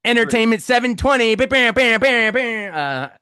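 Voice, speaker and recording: Bright-voiced man of forty, dry sound. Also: very high-pitched, lively intonation, moderate pace, clear, resonant tone, dry recording. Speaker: interviewer, host. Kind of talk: casual interview chat.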